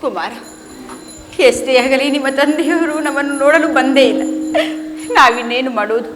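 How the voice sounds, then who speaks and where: Woman playing high, plaintive, pious queen. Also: normal speed, natural voice. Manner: plaintive, devout. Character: sorrowful pious queen and mother. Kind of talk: declamatory mythological stage drama. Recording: reverberant hall with music behind.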